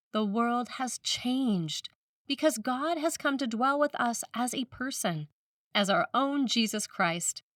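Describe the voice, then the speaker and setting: A woman priest reading a scripted sermon in a measured, deliberate way, with pauses for emphasis. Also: mid-range voice, no room sound. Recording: slightly distant microphone, dry room.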